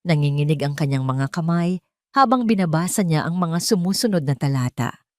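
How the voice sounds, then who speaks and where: A woman's voice: sits low, animated, moderate pace, no echo, studio quality. A woman with a Filipino accent reading a scripted, dramatic love story as its narrator.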